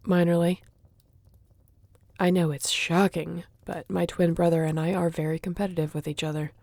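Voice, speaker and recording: Woman acting as young female shifter, audio roleplay, wry and casual, even intonation, mellow tone, competitive twin sister. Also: on the low side, creaky, dry recording. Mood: playful.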